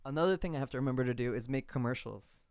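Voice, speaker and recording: A man's voice: medium pitch, rapid-fire, a little mellow, clean tone, no room sound. A man chatting casually on a livestream while producing music, thinking aloud in an informal way. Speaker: music producer live streaming.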